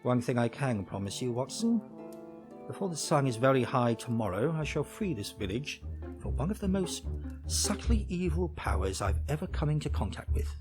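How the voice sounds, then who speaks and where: An actor playing a shrewd, self-assured English detective in a 1940s radio drama, clipped and authoritative. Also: male voice, medium pitch, big pitch swings, normal speed, over music, lightly acted. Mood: commanding.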